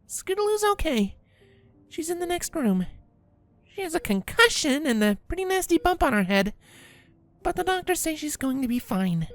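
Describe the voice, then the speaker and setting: A woman voicing a gentle, sober young female pony, a soft-spoken and reassuring friend in a fan fiction audio drama. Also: high voice, big pitch swings, moderate pace.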